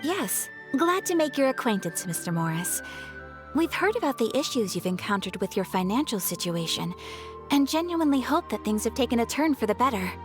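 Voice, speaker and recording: A woman's voice: fairly high pitch, very animated, a little fast, over music. A woman voicing a gracious, refined young noblewoman in a video game, formal and courteous. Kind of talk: acted video game dialogue.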